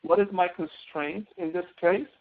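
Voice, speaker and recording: Gravelly male instructor, phone-like audio. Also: high voice, steady tone, normal speed. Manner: asks questions and answers them himself. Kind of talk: spreadsheet tutorial lecture, explaining step by step.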